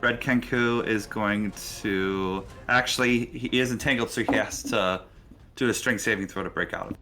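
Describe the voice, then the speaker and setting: Man, low bright voice, game master, halting tabletop narration, faint music behind. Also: steady tone.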